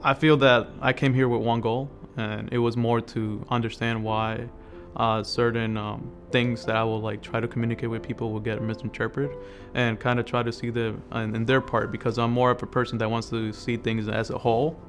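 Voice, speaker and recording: Earnest man from El Salvador, even tone. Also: medium pitch, normal speed. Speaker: student. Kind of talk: interview testimonial. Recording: soft music underneath. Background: background music.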